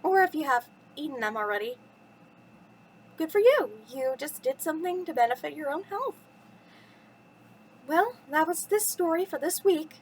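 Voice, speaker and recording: Young woman, high voice, upbeat children's storytime delivery, faint background noise. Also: lively intonation, normal speed, long pauses, poor audio. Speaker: host reading bedtime stories to children. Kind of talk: cheerful storytime talk and expressive story reading.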